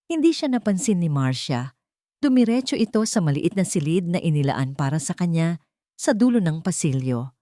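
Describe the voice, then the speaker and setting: A woman's voice: lowish voice, sing-song, moderate pace, mellow, dry recording. A woman with a Filipino accent reading a scripted dramatic story as its narrator.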